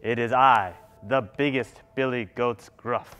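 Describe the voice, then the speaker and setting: A man's voice: mid-range voice, fairly even intonation, takes it slowly, bright, forceful tone. A man voicing a large, bold, confident billy goat who speaks without fear in a children's story. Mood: proud.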